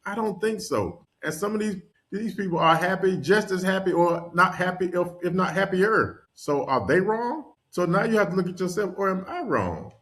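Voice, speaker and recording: Animated storytelling man from North Carolina. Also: high voice, moderate pace, few pauses. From North Carolina, USA. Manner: animated storyteller, laughs often. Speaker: podcast guest, world traveler. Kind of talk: casual conversation.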